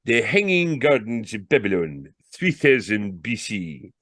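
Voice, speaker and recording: A man's voice: on the low side, very animated, a little slow, fairly bright tone, dry recording, clean studio sound, full character voice. A man voicing a pompous, hungry salesman with solemn, patronising patter in a storybook reading. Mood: solemn salesmanship. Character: wheedling, solemn, hungry male encyclopedia salesman.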